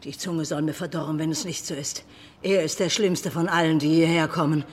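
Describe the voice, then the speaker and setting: A woman's voice: low-pitched, steady tone, a little fast, natural voice. An actress playing a bitter, desperate dockside woman who swings between mocking jeers and pleading in a radio play. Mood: insistent.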